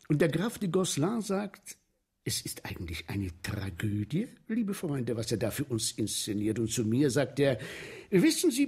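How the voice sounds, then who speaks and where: A man's voice: a little high, husky, full character voice. An actor in a German radio play playing an eager, ingratiating showman who guides noble spectators through macabre entertainments with theatrical flourish. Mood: boastful. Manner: ingratiating, animated, quotes aristocrats grandly.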